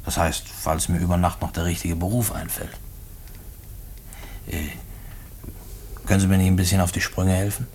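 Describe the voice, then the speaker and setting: An actor in a German radio play voicing a condemned prisoner, a working-class family man who speaks haltingly and repeats himself. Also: sits low, steady tone, darkish tone, slight background noise. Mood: pensive, resigned.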